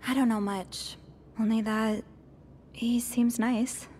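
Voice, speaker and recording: An actress playing a curious, evasive young hotel maid in a mystery game, speaking in an earnest, hesitant way. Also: female voice, a little high, fairly even intonation, slow, a little mellow, a little hiss or hum. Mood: evasive, nervous. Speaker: video game character. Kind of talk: narrative mystery game voice acting.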